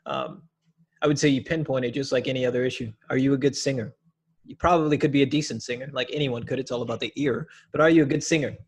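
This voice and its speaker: Young male podcast guest, husky, loose and candid, slangy delivery. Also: medium pitch, fast talker. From Minneapolis, USA. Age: young adult. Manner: animated, blunt, uses slang like dude. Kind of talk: casual conversation.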